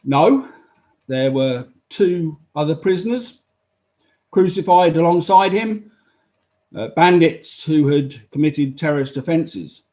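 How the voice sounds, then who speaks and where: A man's voice: a little high, animated, slow, mellow. A man acting as a matter-of-fact Roman army officer who gives unemotional, explanatory testimony in a dramatized inquiry. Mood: matter-of-fact, official.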